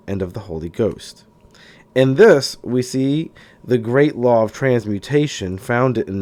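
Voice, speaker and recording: A man narrating a self-help book audiobook-style, in a deliberate, declarative way, sometimes stumbling over words. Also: mid-range voice, darkish tone.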